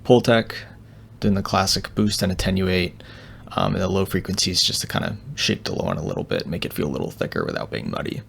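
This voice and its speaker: A man with a low voice and plenty of gravelly fry walks through technical material as an audio engineer. His delivery is casual and unscripted, in a laid-back tutorial style. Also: lively intonation, fairly quick, a bit bright, slight background noise.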